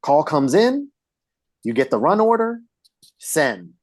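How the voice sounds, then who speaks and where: A man's voice: on the high side, very animated, slow pace, dry recording, clean studio sound. A man talking shop casually as a panelist, in short, punchy phrases. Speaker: podcast panelist on emergency dispatch.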